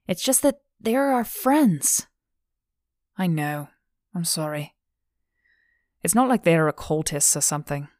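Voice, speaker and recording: A woman's voice: on the low side, animated, slow, pauses often, darkish tone, no room sound. A blunt, stern young woman of the law, played by a female narrator in an audiobook. The sound is very dry, with hardly any room around the voice. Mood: frank.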